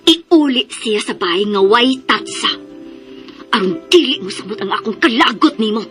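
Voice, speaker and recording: A woman's voice: fairly high pitch, animated, normal speed, fairly bright tone, faint music behind. An actress playing a stern, protective mother in a melodramatic radio drama. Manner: emotional, melodramatic. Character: stern, protective mother rebuffing a persistent suitor.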